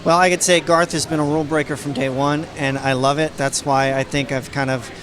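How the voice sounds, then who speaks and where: Man, podcast co-host, fairly high voice, friendly and enthusiastic, crowd chatter behind. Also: flat delivery, a little fast. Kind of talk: casual interview chat. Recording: crowd noise around.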